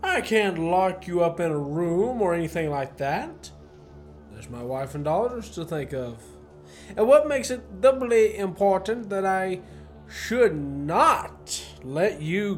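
A man acting in a student radio play as a courteous, menacing gentleman professor who is secretly a spy chief. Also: sits high. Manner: courteous, genteel, theatrically menacing.

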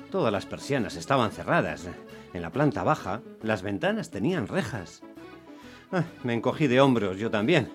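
A man playing an exasperated, unlucky taxi driver, telling his story in a wry, expressive way as radio drama. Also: mid-range voice, close to an everyday voice. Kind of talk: dramatised first-person radio narration.

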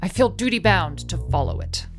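An actress playing a brisk, dutiful woman who runs a remote mountain lodge in a radio play. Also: on the low side, lively intonation. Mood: businesslike. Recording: music behind the voice.

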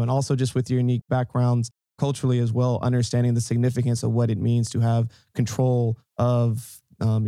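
A man's voice: medium pitch, dark tone, no room sound, studio quality. A man hosting an interview in an upbeat, chatty way, asking long rambling questions. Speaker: interview host.

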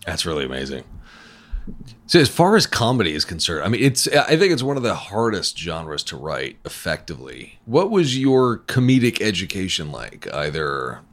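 A man's voice: sits low, huge pitch swings, normal speed, fairly bright tone. A man interviewing a guest, asking questions casually and reacting with short encouraging remarks.

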